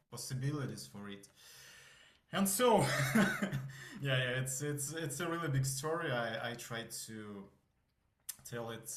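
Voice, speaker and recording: A man in his thirties from Russia with a Russian accent, a voice student telling his story in a podcast interview, halting and searching for words. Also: medium pitch. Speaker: voice student guest. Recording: echoey room.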